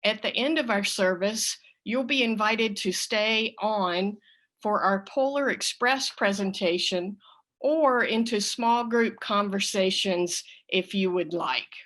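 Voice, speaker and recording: A woman minister giving a deliberate, welcoming opening address at a church service held over video call. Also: mid-range voice, fairly bright tone.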